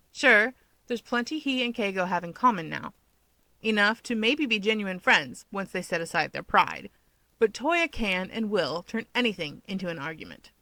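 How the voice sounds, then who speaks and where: Woman reading fanfic audiobook narration, mid pitch, really dry recording. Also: bright, forceful tone, no room sound. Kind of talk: scripted fan fiction audiobook narration.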